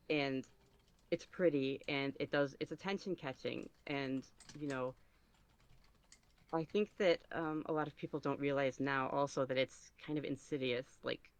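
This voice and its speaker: A man giving casual podcast interview answers as a guest, halting and thinking aloud as he goes. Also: fairly high pitch, a bit bright. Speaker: podcast guest, ASMR roleplay creator.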